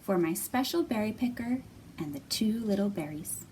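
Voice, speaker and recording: A woman's voice: mid-range voice, expressive, normal speed, mellow. A woman hosting storytime for young children, teaching and reading aloud in simple, repetitive, encouraging phrases, recorded in a library room. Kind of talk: instructional talk and picture-book read-aloud for young children. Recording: distant mic, noisy room.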